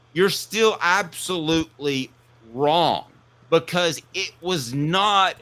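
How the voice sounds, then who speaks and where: A man hosting a sports talk show, giving opinionated commentary in an emphatic way, with punchy declarations and drawn-out stresses. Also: a little high, a bit bright.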